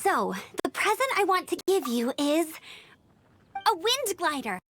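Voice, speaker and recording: A woman's voice: very high voice, very animated, measured pace, a bit bright, slight background noise, heavily acted. A woman playing an eager, upbeat young female knight and outrider in a video game, earnest and helpful in manner. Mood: proud, eager. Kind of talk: English video game voice acting. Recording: game music behind the voice.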